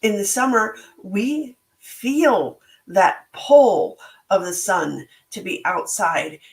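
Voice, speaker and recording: A middle-aged woman with a slightly hoarse voice, hosting a reflective solo talk in a slow, thoughtful, motivational way. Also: medium pitch, expressive, roomy sound. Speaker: podcast host and book club organizer.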